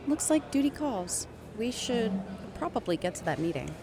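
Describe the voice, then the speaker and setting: An actress playing a warm, enthusiastic marine biologist in audio drama dialogue. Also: female voice, on the high side, sing-song, measured pace. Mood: reluctant. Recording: clear ambient noise behind.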